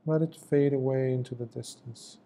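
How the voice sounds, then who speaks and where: A man guiding a meditation as a teacher, speaking gently in short phrases with long pauses between them. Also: medium pitch, steady tone. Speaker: meditation teacher, Buddhist monk. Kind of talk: guided meditation, slow spoken instruction.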